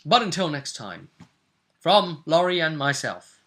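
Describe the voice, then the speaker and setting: Bright-voiced man hosting a roleplay recap. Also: a little high, big pitch swings, unhurried. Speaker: game master and show host. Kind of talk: casual recap chat about a tabletop roleplay session.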